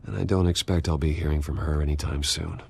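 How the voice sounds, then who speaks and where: A brooding, wealthy vigilante for a dramatic video game. The voice is exceptionally deep, earnest and understated, resolute under a weight of guilt. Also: male voice, fairly even intonation, quick pace, vocal fry, very noisy. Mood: remorseful, resolute.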